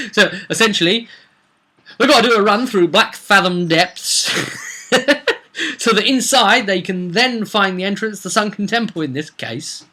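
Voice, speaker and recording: A man with a bright, forceful voice that sits a little high tells the story of his tabletop game as its game master. He is chatty and informal, rambling along like a vlogger and often tossing rhetorical questions to the listener. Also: lively intonation, unhurried, pauses often. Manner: chatty, slips into acted quotes of characters. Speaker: game master recounting a tabletop roleplay session. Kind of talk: casual rambling vlog storytelling.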